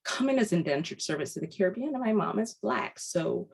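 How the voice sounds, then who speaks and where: A woman from Barbados giving an earnest webinar lecture over a video call, often pausing on ums and restarting her sentences. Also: medium pitch, big pitch swings, creaky, husky. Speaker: guest lecturer.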